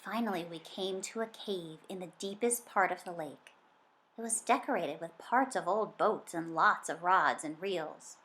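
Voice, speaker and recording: A woman reading a children's story aloud in an expressive, dramatic way. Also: on the low side, normal speed, rough recording. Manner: dramatic, varying from hushed suspense to emphatic excitement. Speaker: children's book reader.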